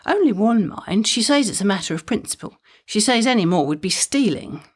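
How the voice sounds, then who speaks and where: A woman's voice: mid-range voice, very animated, brisk, husky. A woman playing a chatty, wry mother with a mischievous streak in an audio drama comedy, speaking conversationally with dry timing. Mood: mischievous storytelling.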